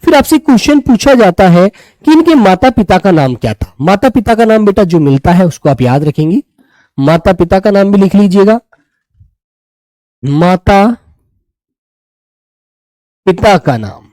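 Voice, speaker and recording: High-pitched male teacher, mellow dry sound. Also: lively intonation, dry recording. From Uttar Pradesh, India. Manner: repeats key phrases slowly as if dictating; affectionate check-ins with students as "beta". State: sore throat. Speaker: Hindi teacher of an online exam-prep class. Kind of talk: live online lesson, addressing students.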